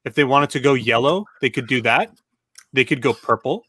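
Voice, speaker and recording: A man co-hosting a casual panel chat, speaking in a relaxed, thoughtful way with many fillers. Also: medium pitch, moderate pace, slightly rough, no room sound. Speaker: co-host.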